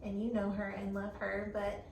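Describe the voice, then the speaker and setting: A woman's voice: mid-range voice, completely flat delivery, moderate pace, vocal fry, darkish tone. A woman in her thirties from North Carolina, chatting enthusiastically as host. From Fayetteville, North Carolina. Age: 30s. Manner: enthusiastic, effusive. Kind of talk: casual chat. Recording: echoey room, mic at a distance, faint noise.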